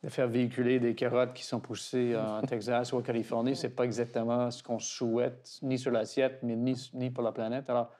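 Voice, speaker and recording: Halting English-Canadian man speaking French. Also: mid-range voice, little pitch movement, warm tone. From Toronto, Canada. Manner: hesitant, halting, frequent restarts. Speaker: garden director, interview guest. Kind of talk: casual interview conversation.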